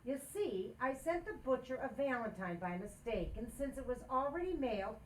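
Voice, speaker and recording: A woman's voice: a little high, big pitch swings, moderate pace, a little hiss or hum, rough recording. A woman acting a flustered, defiant housewife in an old-time radio comedy, exasperated and emphatic. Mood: flustered explaining. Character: flustered, defiant, scheming young housewife, quick to sarcasm. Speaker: community theater actor.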